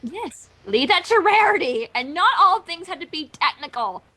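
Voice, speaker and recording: A woman's voice: very high-pitched, sing-song, moderate pace, sharp tone. A woman chatting casually and jokingly as a co-commentator on a story.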